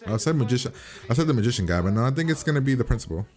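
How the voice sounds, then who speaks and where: A man's voice: medium pitch, animated, racing, a little mellow. A man giving casual, profane reaction commentary in a blunt, slangy way. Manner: blunt, slangy, swears constantly. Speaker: host reacting to a TV episode.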